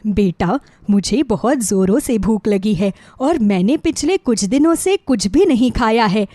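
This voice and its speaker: A woman voicing a hungry, humble old woman who pleads and gives blessings in an animated children's story. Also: fairly high pitch, measured pace, no echo, voice pushed down. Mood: pleading. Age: older.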